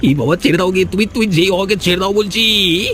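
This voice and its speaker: A man playing a humble, protective young carpenter on the run with his sweetheart, earnest and excitable, in a cartoon. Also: high voice, fairly quick, soft background music. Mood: panicked anguish. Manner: earnest, excitable, stammers when alarmed. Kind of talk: Bengali cartoon melodrama acting.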